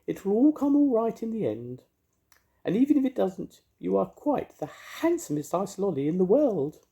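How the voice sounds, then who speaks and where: Man doing a shrill, flustered fairy woman. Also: sing-song, normal speed, gravelly, mellow, full character voice. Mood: fussing. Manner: high wailing cries. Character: shrill fussy female fairy, kindly and flustered.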